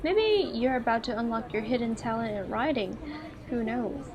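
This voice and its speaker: A woman voicing an outgoing, earnest young female literature student in a visual novel, lively and pushy. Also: fairly high pitch, moderate pace, close to an everyday voice. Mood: encouraging. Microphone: earphone mic. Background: game music.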